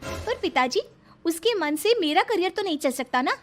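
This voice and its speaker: Woman acting high-pitched, indignant young bride. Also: animated, normal speed, soft background music. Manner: pleading, emphatic. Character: indignant newlywed young daughter-in-law, educated and wronged. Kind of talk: cartoon story dialogue.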